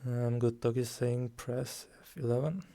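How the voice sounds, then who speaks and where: Male streamer, calm and unhurried, dark gravelly tone, desk mic at home. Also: mid-range voice, slight background noise. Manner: slow, calm, soft-spoken with frequent ums. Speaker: guest streamer. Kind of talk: casual game stream commentary.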